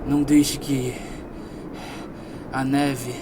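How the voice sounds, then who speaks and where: A man's voice: medium pitch, little pitch movement, extremely slow, noisy background. A Brazilian man playing a solemn, well-travelled wise sage in a tabletop game, exhorting his companions. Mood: solemn urging. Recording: loud music under the voice.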